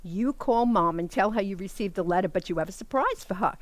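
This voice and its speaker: A woman playing an exasperated, scheming married sister in a comic radio play, brisk and sardonic. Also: medium pitch, animated, dry recording, natural voice. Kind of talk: comic radio theater acting.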